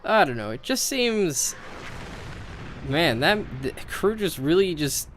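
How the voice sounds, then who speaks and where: A man's voice: a little high, sing-song, takes it slowly, a bit bright, soft background music. A man giving casual, opinionated commentary on video game cutscenes in a rambling, sweary way.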